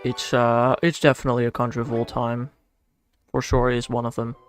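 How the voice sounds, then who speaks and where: Young Dutch-accented male streamer, easygoing and slangy, mid-pitched voice, soft music underneath. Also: completely flat delivery, fairly quick, clean tone. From Netherlands. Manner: laid-back and slangy, talks to himself. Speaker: game streamer. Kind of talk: casual livestream chat, answering chat while playing.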